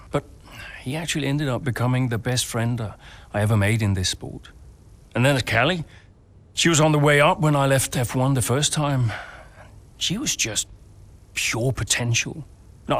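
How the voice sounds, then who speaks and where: A male actor as a kindly veteran racing mentor in a game. His medium-pitched voice is understated and a little hesitant, with a modest, wry humor. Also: close to an everyday voice. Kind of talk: naturalistic cutscene acting.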